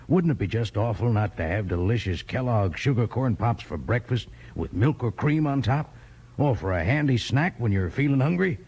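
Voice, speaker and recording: A man pitching a product in a folksy, exclaiming cowboy style, like a 1950s radio commercial. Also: gravelly, a little mellow, slightly rough. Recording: muffled old radio recording.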